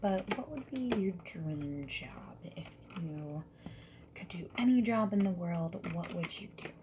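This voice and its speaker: A woman speaking softly and breathily, often dropping to a whisper, in a gentle, friendly ASMR survey-interviewer roleplay. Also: on the low side, lively intonation. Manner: gentle, friendly, unhurried customer-service politeness. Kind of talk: soft-spoken ASMR roleplay. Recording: lo-fi, noisy with keyboard typing and clicks. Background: mechanical keyboard typing and clicks.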